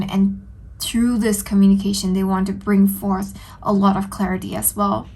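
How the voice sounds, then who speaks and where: A woman doing a tarot reading, sounding slightly nasal from a cold, speaking gently and earnestly with frequent ums. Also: medium pitch. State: stuffy nose from a cold. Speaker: tarot reader. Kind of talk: solo tarot reading to camera.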